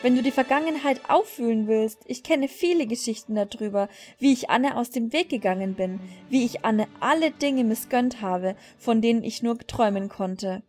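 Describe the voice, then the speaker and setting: A woman's voice: on the high side, normal speed, few pauses, a little mellow, lightly acted. A woman voicing a bitter, guilt-ridden young woman rider from a fantasy horse game in a dramatic, brooding confession. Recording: music behind the voice.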